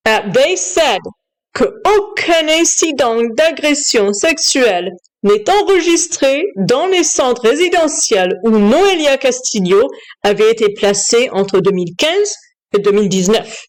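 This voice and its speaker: Insistent, upset woman with a higher-pitched voice. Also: animated, measured pace. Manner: switches between English and French while reading aloud. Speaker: online commentator. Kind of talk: unscripted news commentary.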